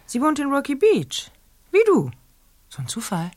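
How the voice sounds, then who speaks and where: An actress playing a sharp female police detective in a radio play, questioning a suspect with persistent, sarcastic probing. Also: high voice, wildly swooping pitch, normal speed.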